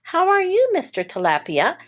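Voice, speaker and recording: A woman voicing a gentle, caring father seahorse in a children's storybook, politely encouraging those he meets. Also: extremely high pitch, wildly swooping pitch, normal speed, pitched above the performer's own voice, exaggerated voice. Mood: friendly, encouraging. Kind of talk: children's storybook read-aloud.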